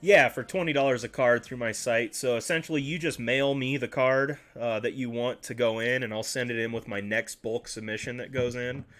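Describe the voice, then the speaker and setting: A man's voice: medium pitch, fairly even intonation, normal speed, few pauses, fairly bright tone. A man hosting a casual livestream, chatting with viewers in a rambling way and correcting himself as he goes, a little congested and nasal. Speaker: card-collecting livestream host.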